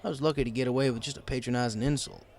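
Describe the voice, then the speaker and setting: A man's voice: medium pitch, fairly even intonation, racing, a little mellow. A man narrating a scripted first-person story in a wry, conversational storytelling way. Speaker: audiobook narrator.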